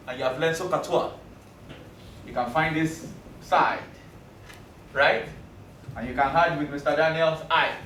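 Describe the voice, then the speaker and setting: A man's voice: fairly high pitch, a bit bright, distant mic, slight background noise. A man whose first language is Yoruba, teaching a classroom math lesson with jokes, often checking that his students follow. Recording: roomy classroom recording from afar.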